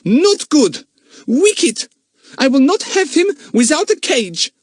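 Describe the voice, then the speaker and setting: A male narrator voicing a stiff, commanding foreign boy of eleven who speaks haltingly and repeats himself. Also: very high voice, very animated, no echo, clean studio sound, pitched above the performer's own voice, exaggerated voice.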